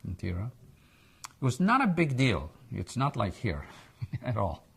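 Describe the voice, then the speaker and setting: An older man from Egypt with a foreign accent, reflecting haltingly in an oral history interview. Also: medium pitch, huge pitch swings, creaky, husky. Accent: foreign English accent.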